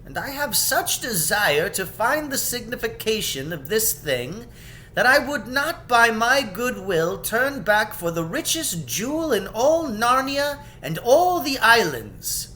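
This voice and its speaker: A man's voice: sing-song, takes it slowly, bright, forceful tone, heavily acted, poor audio. A man voicing a solemn, courtly young king in a storybook fantasy, speaking in formal, archaic, declamatory phrases. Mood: eager, resolute. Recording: background noise with low rumble.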